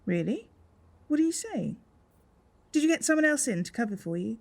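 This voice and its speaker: An actress playing a hesitant, suspicious, awkwardly polite shop boss in a radio soap. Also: female voice, a little high, sing-song, normal speed, creaky, full character voice.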